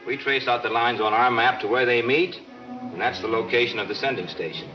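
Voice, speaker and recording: Medium-pitched, serious detective played by a man. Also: a little fast, lightly acted, rough recording. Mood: explanatory. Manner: matter-of-fact, explanatory. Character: steady, serious male police detective. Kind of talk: 1940s film serial acting. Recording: 1940s film soundtrack, music behind.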